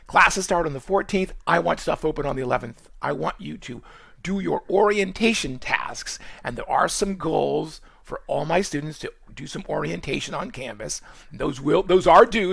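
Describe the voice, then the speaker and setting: A man from Boston with a Boston accent, giving a college course orientation in quick, rambling speech full of fillers and restarts. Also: on the high side, creaky, fairly bright tone, breathy edge. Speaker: college nutrition instructor. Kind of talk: screen-recorded course orientation.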